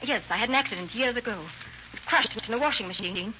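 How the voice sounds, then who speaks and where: A woman's voice: fairly high pitch, a little fast, pushed and bright. A woman playing a stubborn, defensive murder suspect in a radio crime drama, evasive and insistent. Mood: nervous, defensive. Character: defensive, stubborn female murder suspect shielding her lover. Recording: dull, noisy old radio recording.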